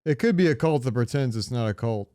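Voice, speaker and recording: Clear-voiced man in casual joking podcast chat. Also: medium pitch, animated, fast talker, warm tone, dry recording, studio quality. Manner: profane, joking. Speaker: podcast co-host. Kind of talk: casual comedic podcast chat, reading out answers.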